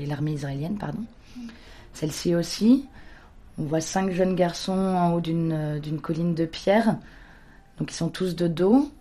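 A woman's voice: low-pitched, warm tone. A young woman, a photographer talking about her work in an interview, hesitant with many restarts. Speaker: photographer guest. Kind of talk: casual interview talk.

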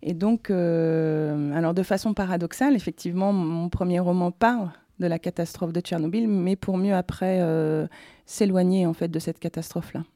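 A woman being interviewed as a novelist, telling their story conversationally in a hesitant, halting way. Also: on the low side, warm tone, no echo, studio quality. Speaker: novelist interviewed about their first book.

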